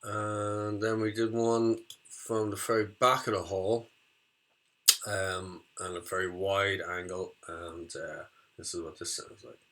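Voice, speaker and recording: A man giving an informal spoken demonstration of audio effects in a hesitant, halting way. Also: low voice, flat delivery, fairly bright tone, a little hiss or hum.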